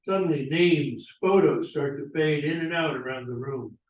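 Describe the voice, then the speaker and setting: A man narrates stage directions at a play's table read, in a slightly high male voice. He sounds set back from the microphone in an echoey room. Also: moderate pace, distant mic, highs cut off. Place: echoey indoor room, away from the mic.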